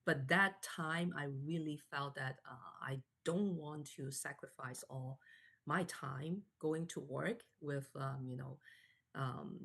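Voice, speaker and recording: Woman, forties, low voice, soft-spoken guest, fillers, restarts. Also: vocal fry. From Canada. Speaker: interview guest. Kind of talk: live online interview, personal story.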